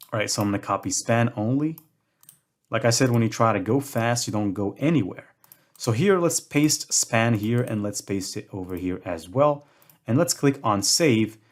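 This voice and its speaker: A young man from Morocco hosting a screen-recorded tutorial, talking in a casual way with self-deprecating jokes. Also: medium pitch. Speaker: tutorial host.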